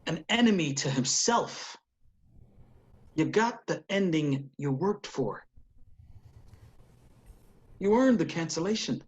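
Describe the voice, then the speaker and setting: A man playing a frank, disappointed colleague in a screenplay table read, speaking in a measured way. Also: on the high side, animated, highs cut off. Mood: frank, regretful. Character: frank, disappointed male colleague and old friend.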